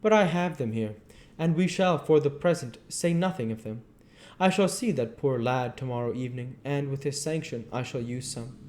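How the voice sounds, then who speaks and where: A man voicing an elderly foreign professor, speaking in earnest, halting phrases at a raised pitch. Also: darkish tone, a little hiss or hum, natural voice.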